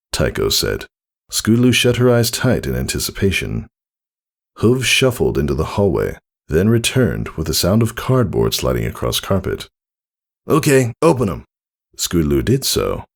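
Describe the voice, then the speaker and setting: A man's voice: low voice, creaky. A man reading a story aloud as narrator in a scripted storytelling style. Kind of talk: scripted fan fiction narration.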